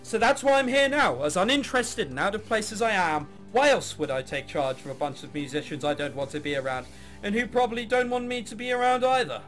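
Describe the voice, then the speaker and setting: Man playing a jaded young woman, sarcastic, bored, crass, high animated voice, visual novel narration, music behind. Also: fairly quick. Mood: cynical, uninterested. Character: jaded, apathetic young woman, reluctant orchestra heiress.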